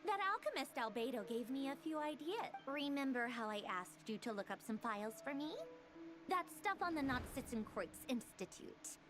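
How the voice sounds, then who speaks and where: A woman's voice: high-pitched, expressive, moderate pace, music in the background. A woman voicing a haughty, cool young researcher in a video game, composed and disdainful. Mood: self-assured.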